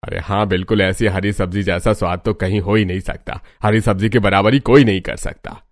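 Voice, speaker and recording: A man's voice: on the low side, steady tone, a little fast, no room sound, clean studio sound, lightly acted. A man playing an elderly, plain-spoken father set in his tastes in a family cartoon. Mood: firm, proud. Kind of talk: Hindi family cartoon voice acting.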